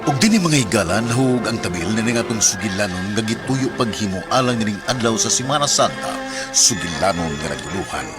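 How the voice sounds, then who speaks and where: A man's voice: on the low side, animated, a little fast. A man with a Cebuano Filipino accent narrating a radio drama in a solemn, scripted, moralizing style. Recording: radio narration over clear music.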